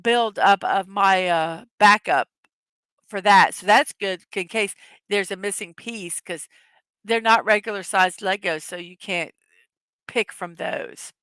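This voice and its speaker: A middle-aged woman chats through a casual morning livestream, greeting viewers and reading their messages out loud. Her voice has a bright, clear edge that has worn a little hoarse, and the sound is dry and close. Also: mid-range voice, a little slow, dry recording, poor audio. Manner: chatty, reads chat messages aloud, laughs often. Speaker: livestream host.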